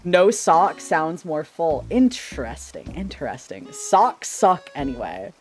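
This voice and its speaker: A man chatting casually on a livestream with a slightly shrill, sharp-sounding voice, rambling playfully and tripping over his words. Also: sing-song, slow pace, faint music behind. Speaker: streamer. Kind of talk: casual livestream chat with viewers. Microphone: desk microphone.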